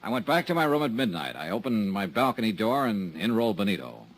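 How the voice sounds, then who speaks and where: An actor playing a tough, wisecracking insurance investigator, with dry, sardonic narration and dialogue in a 1950s radio drama. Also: male voice, on the low side, big pitch swings, fast talker, rough recording. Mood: wry, guarded.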